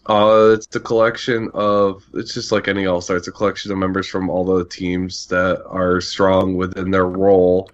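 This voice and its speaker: A man's voice: sits low, monotone, normal speed, few pauses, dull audio. A man co-hosting a podcast in casual chat, answering in a tentative, unsure way. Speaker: podcast co-host.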